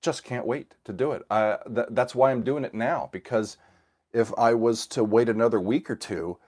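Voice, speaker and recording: A man's voice: mid-range voice, normal speed, darkish tone. A middle-aged man hosting a casual livestream, a little scratchy from a cold, rambling with fillers and restarts. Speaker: host of a music reaction channel. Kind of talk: casual livestream chat with viewers.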